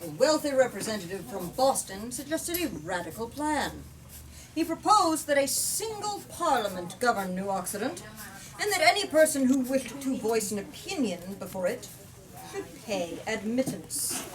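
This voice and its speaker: A woman storyteller reading a novel aloud to listeners with dramatic, deliberate phrasing. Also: fairly high pitch, big pitch swings. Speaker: storyteller, book talk leader. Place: bookstore. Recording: distant mic, clear background noise.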